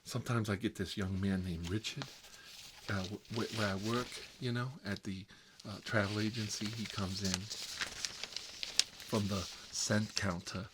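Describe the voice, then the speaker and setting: A man playing a fussy, gossipy bachelor uncle in an improvised comedic ASMR roleplay, rambling and confiding in a prissy, halting way. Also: on the low side, fairly even intonation, heavy background noise, lightly acted. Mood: chatty, wistful.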